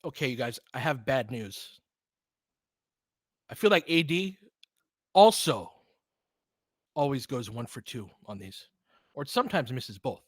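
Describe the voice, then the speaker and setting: A man doing live basketball play-by-play as an excitable, partisan fan, chatting casually with his viewers. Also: mid-range voice, unhurried, pauses often, no echo, clean studio sound. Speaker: fan play-by-play commentator, streamer.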